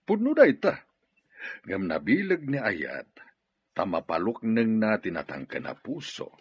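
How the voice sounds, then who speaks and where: A man's voice: fairly low pitch, huge pitch swings, unhurried, slightly rough, no echo, highs cut off, full character voice. A man with an Ilocano Filipino accent acting a jovial, philosophizing boss in an audio drama. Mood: musing, amused. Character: male boss, philosophizing about love, jovial.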